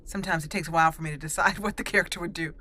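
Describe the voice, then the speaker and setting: A woman's voice: on the low side, steady tone, rapid-fire, pushed and bright. A woman hosting a roleplay video game series, chatting casually with frequent fillers and self-corrections. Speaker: let's play host.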